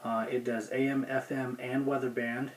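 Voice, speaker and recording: A man talks through a product review off the cuff, plainspoken and a bit rambling. His mid-range voice stays fairly monotone, and there is noticeable noise behind him. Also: mid-range voice, moderate pace. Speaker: gear review video host. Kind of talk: casual unscripted product review.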